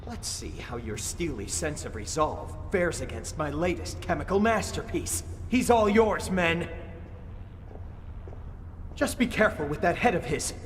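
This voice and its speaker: High, echoing, taunting villain. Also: male voice, expressive, measured pace, soft background music. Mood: taunting, smug. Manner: taunting, grandiose, articulate. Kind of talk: video game villain acting. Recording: distant, long echoing hall.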